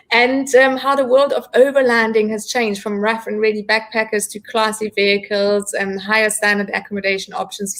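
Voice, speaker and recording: A woman hosting an online interview series, welcoming viewers and putting questions in halting, deliberate phrases. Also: mid-range voice.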